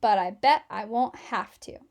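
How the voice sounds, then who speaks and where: A woman's voice: mid-range voice, unhurried, creaky, a little mellow. A woman voicing a male schoolteacher in a storybook, patient but exasperated, with an instructive, emphatic manner. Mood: sheepish.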